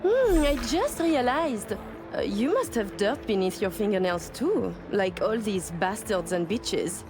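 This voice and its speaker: An actress playing a haughty, flirtatious, sharp-tongued socialite with a French accent in a video game. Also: female voice, medium pitch, big pitch swings, takes it slowly, over music. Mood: teasing. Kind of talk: video game cutscene acting.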